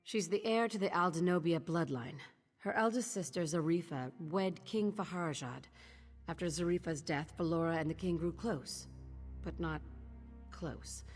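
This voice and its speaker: A woman plays a determined thief leader in a fantasy game. Her voice sits low, guarded and measured. It carries a long reverb with music beneath. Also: a little mellow, lightly acted. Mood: measured, cool. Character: determined, guarded female thieves guild leader. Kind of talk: video game voice acting.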